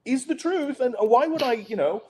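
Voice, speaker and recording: A man from Pakistan, a journalist guest telling stories in a relaxed interview, with wry, dry humor and lots of fillers and restarts. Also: very high-pitched, moderate pace, a crowd in the background. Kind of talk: conversational storytelling on a podcast interview.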